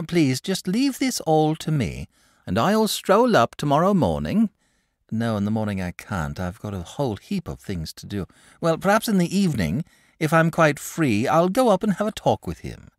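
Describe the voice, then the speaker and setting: A calm, bookish young boy, as a male reader puts him on, speaking in an even, matter-of-fact way with a lively lilt. The recording is close and dry. He is a storybook figure. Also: on the high side, normal speed, dry recording, voice pushed up. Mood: reassuring.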